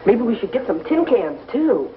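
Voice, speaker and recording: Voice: medium pitch, sing-song, normal speed, natural voice. An actor playing an eager, brash schoolboy with rustic speech in an old television western. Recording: dull old TV soundtrack, music behind.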